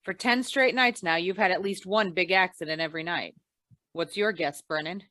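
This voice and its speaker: Wry female private investigator played by an actress, radio noir, deadpan delivery, on the low side, very little room. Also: normal speed, no echo. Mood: dry, probing. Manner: deadpan, wisecracking. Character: wry hard-boiled female insurance investigator. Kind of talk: old-time radio noir detective acting.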